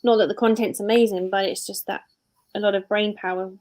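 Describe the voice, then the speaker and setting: Brief: British woman in her thirties, casual live-stream host, chatty and rambling, mid-pitched. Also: fairly even intonation, fairly quick, a little mellow, slight background noise. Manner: rambling, self-correcting.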